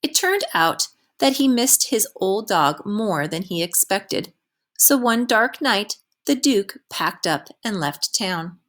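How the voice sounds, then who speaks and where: A woman reading a children's picture book aloud as a teacher would, with expressive storytelling delivery. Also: mid-range voice, slow.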